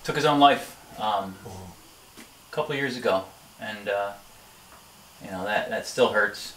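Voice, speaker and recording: A man's voice: fairly low pitch, a little slow, fairly bright tone. A man reminisces in an easy, conversational interview style. He is recorded slightly far from the mic in a strongly echoing room, over a faint layer of noise. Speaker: interviewee, firefighter and hobby sign maker. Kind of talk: casual chat, reminiscing. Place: indoor workshop. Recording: echoey room, distant mic, background noise.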